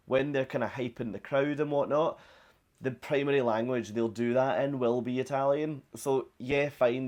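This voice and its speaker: A man's voice: mid-range voice, darkish tone. A young British man from Britain, chatting casually to camera as a travel vlogger from a cruise ship balcony, upbeat and rambling with fillers.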